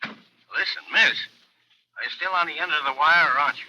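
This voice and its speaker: An actor playing a gruff, skeptical small-town police chief in an old radio thriller, blunt and drawling. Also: male voice, on the high side, normal speed, pushed and bright. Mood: impatient, reluctant. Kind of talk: 1940s radio thriller acting. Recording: dull old radio recording.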